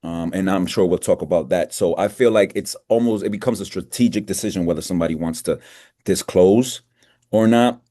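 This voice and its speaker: A man speaking as a panelist on a video call, answering thoughtfully and deliberately with pauses between phrases. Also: deep voice, mellow, no room sound, clean studio sound. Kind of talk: panel discussion.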